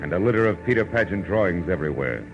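Wry tired american detective from 1940s radio, really deep deadpan voice, dull old recording. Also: male voice, fast talker. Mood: wry narrating. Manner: deadpan, sardonic. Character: wry, world-weary male hard-boiled private detective. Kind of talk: 1940s radio drama narration. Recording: muffled old radio recording, music behind.

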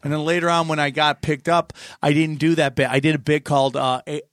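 A man in his forties from New York, a comedian telling rambling, joking stories on a podcast with sudden loud bursts. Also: a little high, a little fast, no room sound, clean studio sound. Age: 40s. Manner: rambling, jokey storytelling with loud excited bursts. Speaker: comedian guest. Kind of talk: casual podcast chat.

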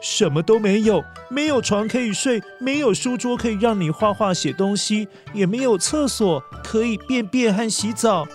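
A woman tells a children's story. Her delivery is expressive and aimed straight at young listeners, with faint music underneath. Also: medium pitch, normal speed, few pauses. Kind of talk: scripted children's story narration.

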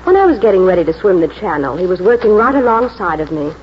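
A woman's voice: on the low side, big pitch swings, fast talker. An actress playing a proud, reflective middle-aged village mother in a 1940s radio drama. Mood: wistful pride. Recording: muffled hissy 1940s radio recording.

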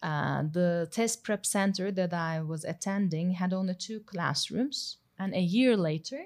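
Interpreter, female, lowish voice, halting English with frequent "uh" fillers. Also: a little mellow. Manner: halting, fills gaps with "uh" and "you know". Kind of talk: consecutive interpreting.

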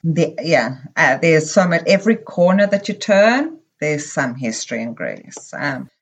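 A middle-aged woman from Zimbabwe, a podcast guest recalling her life abroad in an unhurried, meandering way with many pauses and fillers. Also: low-pitched, very animated, slightly rough. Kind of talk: casual interview conversation.

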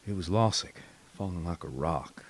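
An American man voicing a shaken paratrooper in an audiobook, quietly and haltingly recounting what he witnessed. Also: low-pitched, expressive, husky, heavily acted. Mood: shocked, grim.

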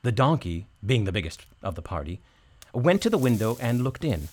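Expressive male storyteller with lively pitch. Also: normal speed. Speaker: storyteller, narrator. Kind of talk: scripted storybook narration.

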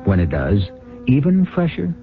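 A man hosts a vintage radio mystery in a very deep, expressive voice. His scripted delivery is deliberate and wry. Music plays prominently behind him and the broadcast sounds thin and boxy. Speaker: host. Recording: narrow-band 1970s radio broadcast.

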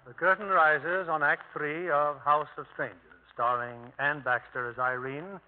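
A man narrating a scripted 1950s radio drama as its host, measured and theatrical. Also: fairly high pitch, fairly even intonation, a little hiss or hum. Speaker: producer and narrator. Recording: tinny old radio broadcast. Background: music.

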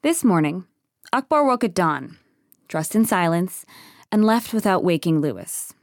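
A woman's voice: mid-range voice, dry recording, studio quality. A woman delivering scripted third-person story narration as the narrator of an audio drama. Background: music.

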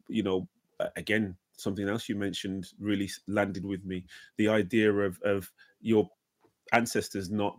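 Hesitant low-voiced man, dry sound. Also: no echo. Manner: hesitant, many "um" and "you know". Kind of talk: reflective talk-show chat.

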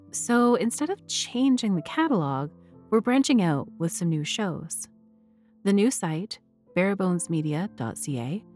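A woman's voice: lowish voice, a little mellow. A woman speaking as a podcast host and storyteller, delivering scripted narration. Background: music.

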